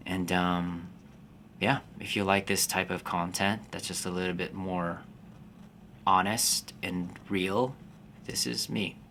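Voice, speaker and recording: A man in his forties, born in the Philippines, a fitness business coach talking to camera in a calm, slow, unhurried way. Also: deep voice, a little hiss or hum. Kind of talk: casual talking-to-camera vlog.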